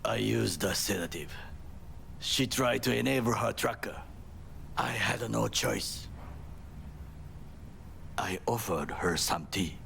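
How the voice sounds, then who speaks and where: A man's voice: fairly low pitch, creaky, fairly bright tone, exaggerated voice. A male actor playing a stern, honor-bound middle-aged Japanese bodyguard in a video game, speaking formal, clipped English with a Japanese accent. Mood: grave, restrained. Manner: clipped, formal, deliberate phrasing. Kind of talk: cinematic video game acting. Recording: noisy game ambience behind voice.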